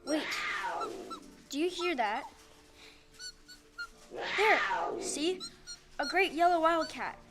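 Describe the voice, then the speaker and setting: High-pitched woman as a gentle tin man, courteous, earnest, loud music behind, live audio play. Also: big pitch swings, slow, pauses often, exaggerated voice. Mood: alarmed. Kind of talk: stage audio play acting. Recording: live stage recording with music.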